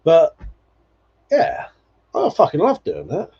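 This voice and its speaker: A man's voice: on the high side, huge pitch swings, slow, gravelly, warm tone, slightly rough. Man in his forties, swearing casually. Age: 40s. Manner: nostalgic, swears freely, laughs often. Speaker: livestream host. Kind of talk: casual chat reading viewer comments. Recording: dull lo-fi stream audio.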